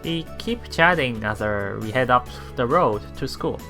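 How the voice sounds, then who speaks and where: A bright-voiced man with very varied intonation reads a visual novel aloud over faint music. He streams as he reads, stumbling and correcting himself as he goes. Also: mid-range voice, expressive. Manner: halting reading with repeats and self-corrections. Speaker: let's play streamer. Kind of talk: reading a visual novel aloud with light commentary. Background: soft game music.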